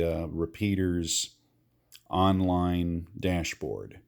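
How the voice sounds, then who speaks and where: Casual male demonstrator, American, very low register, gravelly fry, patient explanatory repeats. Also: a little mellow. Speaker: amateur radio hobbyist giving a demonstration. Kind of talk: casual how-to walkthrough.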